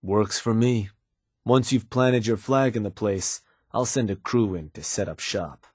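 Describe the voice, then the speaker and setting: A raider gang's fixer in a video game, low-voiced and rough with husk. He talks like a wry, streetwise middleman briefing his boss, easygoing but all business. The sound is close and dry. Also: measured pace, a little mellow, dry recording. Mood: matter-of-fact, wry. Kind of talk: in-game character dialogue, conversational delivery.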